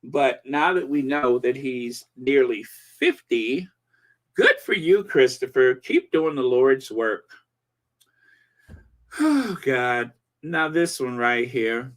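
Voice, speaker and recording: Forties male host, unhurried and meandering, fond of pauses, chatty comment-reading commentary. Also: mid-range voice, sing-song. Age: 40s. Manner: rambling, slow, lots of fillers and pauses. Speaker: channel host.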